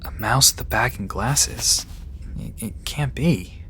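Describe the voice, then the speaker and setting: A gentle, somewhat awkward male vet tech, played by an actor in an audio drama. His mid-pitched voice is reassuring and halting, over faint ambient noise. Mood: bewildered. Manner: hesitant, reassuring.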